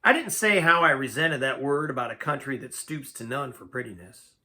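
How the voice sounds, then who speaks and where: A man reading a story aloud as narrator, with a dramatic, expressive, folksy delivery. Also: mid-range voice, normal speed. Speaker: amateur story reader.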